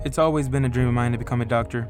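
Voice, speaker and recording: A man's voice: medium pitch, fairly even intonation, very fast, natural voice. A man playing a good-natured, playful family friend in an audio drama, unbothered and easygoing in manner. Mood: matter-of-fact. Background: music.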